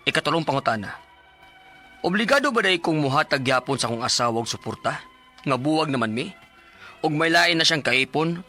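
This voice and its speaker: A Cebuano man reads a listener's letter on a radio advice program, his bright voice swinging widely in pitch as he leans into the drama. He sounds pleading and emotive. A faint music bed runs underneath.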